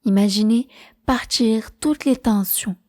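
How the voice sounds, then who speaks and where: A woman leading a guided prenatal meditation as a teacher, speaking in a calm, measured, soothing way. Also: a little high.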